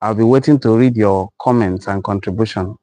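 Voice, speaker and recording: A man with a Nigerian accent, a native Igbo speaker, hosting a live-stream talk and deliberately repeating his appeals to viewers. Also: on the low side, dark tone, dry recording. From western Igbo land, Nigeria. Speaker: host of a cultural forum live broadcast.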